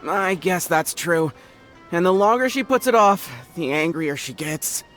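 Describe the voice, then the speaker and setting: A man's voice: on the high side, animated, measured pace, fairly bright tone, soft background music. A man voicing a nervous, loyal young gang underling in a video game, hesitant and anxious. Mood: worried.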